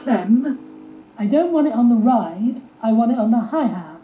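A man's voice: very high-pitched, animated, moderate pace, echoey room, background noise. A man playing a tyrannical, impatient, foul-mouthed record producer in a film drama. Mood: impatient, demanding. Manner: bossy, impatient, sings out parts as nonsense syllables.

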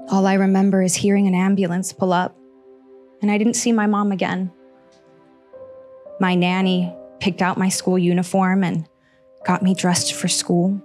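A woman in her thirties from Costa Rica, telling a personal story on stage with slow, earnest pauses into a handheld mic. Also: medium pitch, darkish tone. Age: 30s. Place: small stage in front of seated audience.

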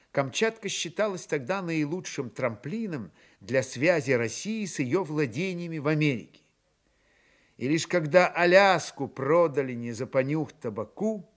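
Male radio narrator with sweeping intonation. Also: mid-range voice. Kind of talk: dramatized literary narration for Soviet radio.

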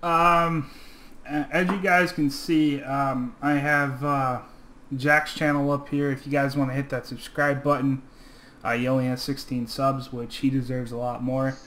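A man's voice: medium pitch, level tone, unhurried, fairly bright tone. A man hosting a casual online interview, upbeat but unsure, with lots of fillers. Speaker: interviewer, channel host. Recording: faint background noise.

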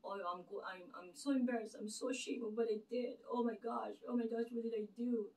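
A woman's voice: on the high side, fairly even intonation, a little fast, warm tone. A middle-aged woman with a Filipino accent, giving an earnest, rambling faith talk to camera with many fillers and restarts in her living room. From Philippines. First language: Tagalog. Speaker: channel host. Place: living room with a lit Christmas tree. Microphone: camera across the room. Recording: distant camera mic in a room.

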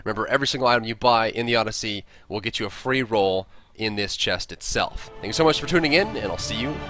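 A man's voice: on the low side, quick pace, over music. A man presenting a scripted video tutorial, explaining things step by step. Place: close, dry voice-over recording.

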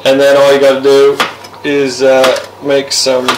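A man's voice: mid-range voice, measured pace, noisy background. Laid-back guy cooking at home. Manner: relaxed, rambling, lots of fillers. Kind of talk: casual cooking demonstration. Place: home kitchen. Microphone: camera mic from across the room. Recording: roomy, distant camera sound.

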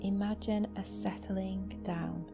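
A woman guiding a meditation as a yoga nidra teacher, speaking slowly and gently in a soft, soothing voice with long pauses. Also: medium pitch, fairly even intonation, vocal fry. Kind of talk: guided meditation. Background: new-age music.

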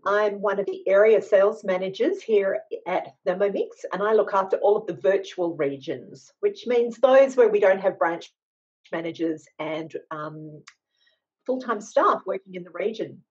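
A woman's voice: medium pitch, lively intonation, moderate pace, a little mellow. A woman in her fifties giving a welcoming introduction to an online class, as the organizing manager. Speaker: sales manager opening an online cooking class. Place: small home office on a video call. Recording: dull video call audio.

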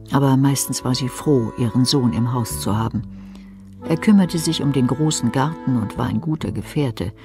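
A man reading scripted narration for a German radio play. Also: mid-range voice.

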